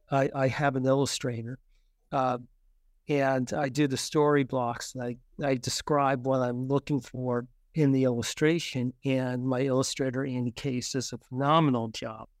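A man with a clear, smooth voice at a middling pitch, recorded close and dry. He chats like an author being interviewed, often repeating a word or restarting as he works toward his point. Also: flat delivery, no room sound. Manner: halting, repeats words and restarts. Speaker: guest, children's book author. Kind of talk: conversational anecdotes in an interview.

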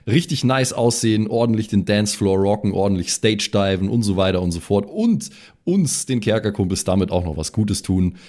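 Low-voiced man doing a slangy promo. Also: animated, a little fast, darkish tone. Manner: tongue-in-cheek rocker slang. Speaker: show regular promoting merchandise.

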